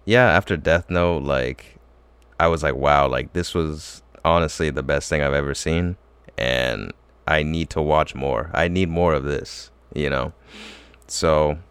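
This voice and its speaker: A man's voice: very deep voice, a bit bright, clean tone, no echo. A young man telling a long story in casual chat, full of fillers and restarts. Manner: rambling, filler-heavy storytelling.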